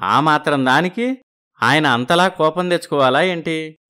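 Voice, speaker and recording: A man voicing a proud but soft-hearted village brother and husband in an animated moral story. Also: on the high side, measured pace, clean tone. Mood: aggrieved.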